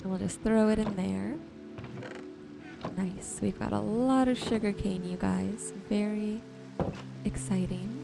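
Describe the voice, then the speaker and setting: A woman giving relaxed ASMR-style gameplay commentary, gently thinking aloud without hurry. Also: medium pitch, measured pace, gravelly, pauses often, over music. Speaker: gaming video host.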